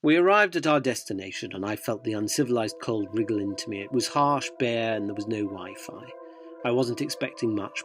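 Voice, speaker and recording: A man's voice: fairly low pitch, natural voice. An actor playing a sceptical, wry interviewer, narrating his surreal expedition in dry, wordy radio drama style. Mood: wry, uneasy.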